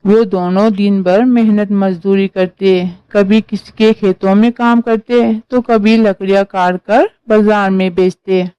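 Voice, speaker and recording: A woman's voice: medium pitch, fairly even intonation, unhurried, few pauses, dark tone, clean tone, no room sound, lo-fi sound. A woman narrating a scripted story as a storyteller.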